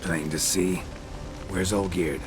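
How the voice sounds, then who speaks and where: An actor playing a gruff, laconic monster hunter in a fantasy game, clipped, dry and sardonic. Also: male voice, deep voice, fairly even intonation, slow, music in the background. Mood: sardonic, calm. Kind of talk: fantasy video game dialogue.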